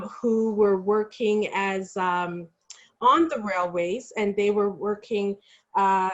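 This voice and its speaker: Woman giving a halting explanatory presentation. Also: mid-range voice. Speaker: panelist presenting historical artifacts.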